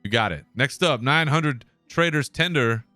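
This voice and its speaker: A man's voice: mid-range voice, expressive, normal speed, bright, forceful tone, no echo, faint music behind. A man hosting a podcast alone, talking in a laid-back, casual way and swearing now and then. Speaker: podcast host. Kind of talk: solo casual commentary.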